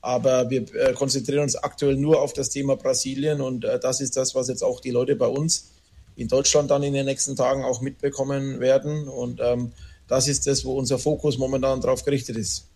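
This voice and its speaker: A man's voice: mid-range voice, level tone, brisk, warm tone. A man from a band answering interview questions casually, rambling with many fillers. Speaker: band member.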